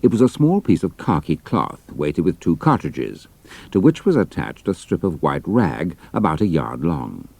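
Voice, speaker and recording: A man's voice: low voice, very animated, moderate pace, vocal fry, darkish tone. A British man narrating a scripted adventure story as an audiobook, with precise storytelling delivery.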